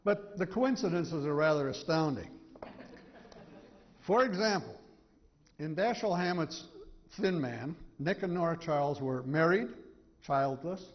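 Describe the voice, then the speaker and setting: An American man delivers an informative lecture with halting phrasing and dry humour. His voice is fairly high for a man, slightly muffled, with long hall reverb. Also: expressive. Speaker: author giving convention talk. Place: convention hall.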